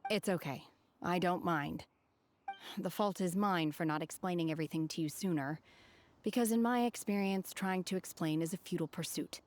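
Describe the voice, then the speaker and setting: An actress playing a reserved, solemn young woman in a video game, speaking in a calm, formal, measured way. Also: lowish voice, a little hiss or hum, natural voice. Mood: quietly grateful. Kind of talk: video game character acting.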